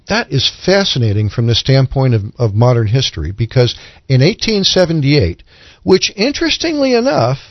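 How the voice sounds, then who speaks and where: A man co-hosting a radio Bible programme, explaining scripture in an earnest, measured teaching manner. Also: medium pitch, dry recording, highs cut off. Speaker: radio Bible commentator and co-host. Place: radio studio.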